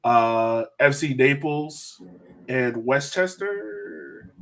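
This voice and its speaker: A man's voice: mid-range voice, lively intonation, very slow, vocal fry, a bit bright. A man co-hosting a casual sports podcast, talking in a slangy, storytelling way. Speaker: podcast co-host.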